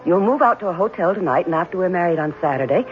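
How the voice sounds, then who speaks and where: A woman's voice: on the low side, expressive, racing, natural voice. A woman acting in an old-time radio drama, playing a devoted, romantic young fiancée with a theatrical delivery. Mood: reassuring. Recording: dull vintage radio recording, music behind.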